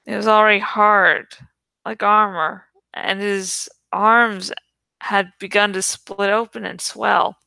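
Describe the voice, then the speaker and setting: Female audiobook reader: clear, forward tone, unhurried pacing, dry close sound, suspenseful storytelling. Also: medium pitch, steady tone, bright, forceful tone, no room sound. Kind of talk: dramatic scripted narration of a young adult novel.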